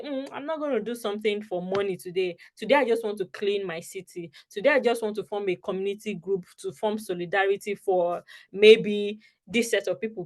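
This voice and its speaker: A young woman from Nigeria giving an online talk over slides on a video call, chatty and often checking in with her listeners. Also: mid-range voice. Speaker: scholarship advice presenter.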